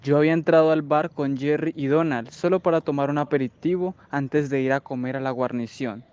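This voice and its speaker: A radio drama monologue by a man playing an aggrieved army sergeant. His voice is crisp and resonant, a little high, and he recounts every detail deliberately. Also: steady tone, darkish tone, lo-fi sound, lightly acted. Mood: aggrieved, recounting.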